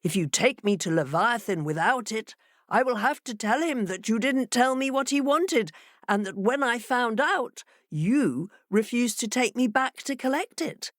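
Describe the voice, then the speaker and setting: A woman's voice: fairly high pitch, expressive, a little slow, dry recording, studio quality, voice pushed up. A woman doing a proud, cunning fox. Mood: sly. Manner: haughty, then sly.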